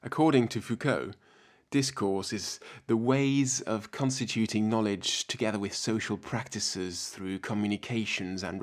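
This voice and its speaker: A man from France, raised speaking French and German, with a French-German accent, delivering a measured, reflective scripted talk as a researcher. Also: fairly low pitch. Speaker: PhD researcher giving a talk. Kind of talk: scripted spoken essay.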